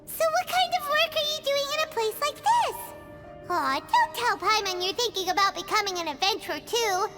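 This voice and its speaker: A woman voicing a tiny, childlike, excitable fairy companion in an English video game dub, squeaky and exclamatory. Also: normal speed, big-room sound, music in the background. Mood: chirpy. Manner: speaks of herself in third person, exclamatory.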